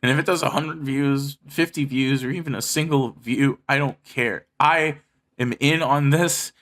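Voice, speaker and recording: A man's voice: mid-range voice, fairly bright tone. A man speaking earnestly and emphatically to his viewers in a personal monologue, swearing freely. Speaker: video creator addressing subscribers.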